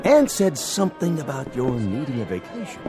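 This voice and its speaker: A brash film producer who wants to close a deal. His voice is middle-pitched and rises and falls a lot. It is a radio drama. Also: wildly swooping pitch, moderate pace, music in the background. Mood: pushy. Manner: brash, persuasive. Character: pushy, blunt male film producer.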